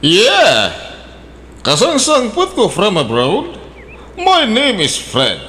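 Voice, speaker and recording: A man's voice: high voice, huge pitch swings, slow, bright, forceful tone, slight background noise, exaggerated voice. An actor playing a forward, blunt man back from abroad who mixes English into his speech. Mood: brash. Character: returning male emigrant suitor, blunt and forward. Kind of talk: radio drama acting.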